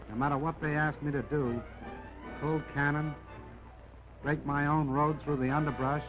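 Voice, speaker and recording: A man's voice: a little high, lightly acted. Man as a plucky army jeep, vintage film sound. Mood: determined, modest. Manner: folksy, wry first-person storytelling. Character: army jeep telling its life story, modest, plucky, self-doubting. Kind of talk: 1940s educational film narration. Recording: dull vintage 1940s film soundtrack, music behind.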